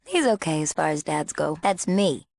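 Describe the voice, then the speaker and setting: A woman's voice: deep voice, lively intonation, moderate pace, gravelly, a little mellow. A young woman with an American accent playing a bored, sassy small-town girl in a video game, casual and sardonic. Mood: matter-of-fact. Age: young adult. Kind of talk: video game character acting.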